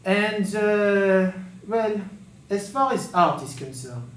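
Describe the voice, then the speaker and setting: A man's voice: high-pitched, lively intonation, takes it slowly, a bit bright, roomy sound, exaggerated voice, poor audio. A man playing a pompous older French art professor with a put-on French accent, haughty and dismissive. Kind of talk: comedy sketch acting.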